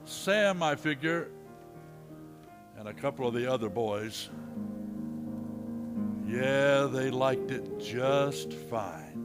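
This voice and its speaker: Casting: dogged western lawman played by a man, mid-pitched, weary and sparing with words, for radio drama. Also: animated, takes it slowly, long pauses, over music. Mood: resigned. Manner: terse, questioning. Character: weary, dogged US marshal of the Old West. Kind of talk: western radio play acting.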